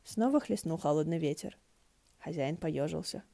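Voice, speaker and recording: Женщина выразительно читает художественную прозу как рассказчица аудиокниги. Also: female voice, deep voice, moderate pace, gravelly, dark tone. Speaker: audiobook narrator. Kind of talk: scripted fiction narration.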